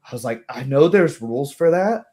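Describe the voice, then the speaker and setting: A man talking casually as a tabletop game master, chatty and halting, with a readiness to chuckle. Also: medium pitch, expressive, warm tone.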